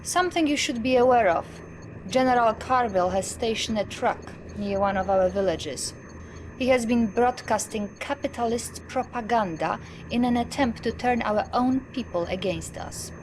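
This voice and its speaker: A woman voicing a dutiful young officer who reads out crisp, formal reports in a fairly high voice. Her delivery is businesslike and steady, as in a strategy game briefing. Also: normal speed, slight background noise, lightly acted. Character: brisk young female Soviet officer, dutiful. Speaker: military officer giving reports. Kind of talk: video game mission briefing.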